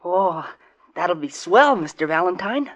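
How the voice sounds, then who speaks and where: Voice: medium pitch, lively intonation, measured pace, a bit bright, lo-fi sound. An actor playing a plucky, cheeky young boy assistant in a 1940s radio play, polite but brash and sure of himself. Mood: cheeky, earnest. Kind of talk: 1940s radio comedy-mystery acting.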